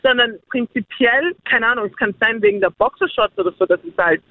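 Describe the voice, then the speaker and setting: A woman's voice: on the high side, expressive, brisk, dry recording. A woman in a casual chat who rambles, repeats herself and giggles as she talks. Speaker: guest sharing her views. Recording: dry tinny phone-like sound.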